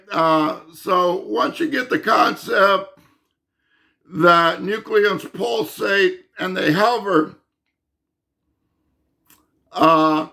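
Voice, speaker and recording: Man, fading hoarse voice, bright tone, slow halting delivery, insists and repeats points. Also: high voice. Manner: insistent, emphatic, repeats himself; halting, with many fillers and restarts. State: losing his voice. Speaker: channel host explaining physics. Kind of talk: unscripted monologue to camera.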